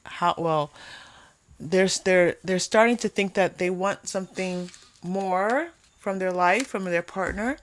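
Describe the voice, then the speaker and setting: A woman hosting an unscripted tarot reading, talking conversationally to viewers and often pausing or repeating phrases as thoughts come to her. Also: on the low side, a little hiss or hum. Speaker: tarot reader hosting a pick-a-card reading.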